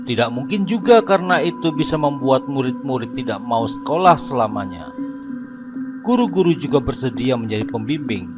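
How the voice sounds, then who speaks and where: A man's voice: medium pitch, expressive, normal speed, over music. A man narrating a scripted horror story.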